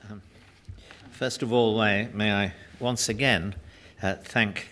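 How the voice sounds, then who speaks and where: A man giving a formal, courteous speech as a guest, self-deprecating and halting, with frequent hesitations. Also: lowish voice, steady tone, sharp tone, slight background noise. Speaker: guest speaker, journalist and political analyst. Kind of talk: formal speech at a book launch.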